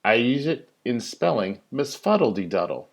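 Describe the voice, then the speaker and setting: A man who works as a teacher reads a children's story in rhyming verse, with an expressive, sing-song delivery that stresses key words. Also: mid-range voice, normal speed, creaky. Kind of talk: animated storybook read-aloud of rhyming verse.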